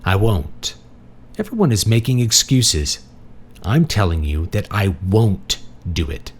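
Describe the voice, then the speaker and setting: Resigned, firm father read by a male narrator, low-pitched, expressive audiobook dialogue. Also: measured pace, slight background noise. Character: reluctant, forgiving father who loves his brother.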